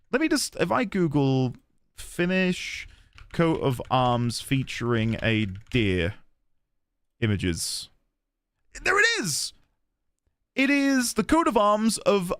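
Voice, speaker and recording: A man live-streaming a video game, chatting excitedly with viewers, thanking them and stumbling over restarts as he talks. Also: fairly high pitch, vocal fry, no room sound. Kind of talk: casual live-stream chat and commentary.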